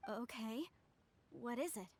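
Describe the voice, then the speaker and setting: A woman's voice: on the high side, takes it slowly, a little mellow, slight background noise. A woman voicing an earnest, principled young archaeologist in a video game, with an emphatic, formal way of talking. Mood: earnest, resolute.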